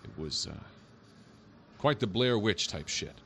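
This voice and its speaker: A man's voice: lowish voice, lively intonation, a little slow, gravelly, a bit bright, exaggerated voice. An actor playing a patient, bemused male police officer in a naturalistic audio drama, questioning in a conversational, hesitant way. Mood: bemused recounting.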